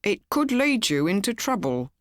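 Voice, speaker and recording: A middle-aged husband in an audiobook tale, played by a woman reader. He is kindly and a bit of a bore, fussing gently and doubting mildly, heard in a dry, close recording. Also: mid-range voice, big pitch swings, normal speed, no echo, voice pushed up, lightly acted. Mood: sceptical.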